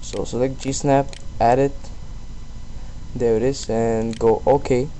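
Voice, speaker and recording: A man presenting a casual screen-recorded software tutorial in a halting, self-deprecating way. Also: medium pitch, rough recording. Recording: steady background noise on voice-over.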